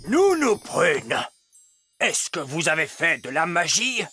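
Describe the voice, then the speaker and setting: A man's voice: a little high, big pitch swings, pushed and bright, husky, full character voice. An actor voicing a wise old male elf schoolteacher in a children's cartoon dub, instructive, strict but kindly. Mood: stern. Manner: measured, instructive. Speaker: teacher.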